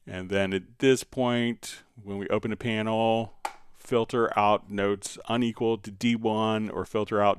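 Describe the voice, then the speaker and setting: A man hosting a live stream, casually explaining software step by step while he demonstrates it. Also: on the low side, clear, resonant tone, dry recording, studio quality.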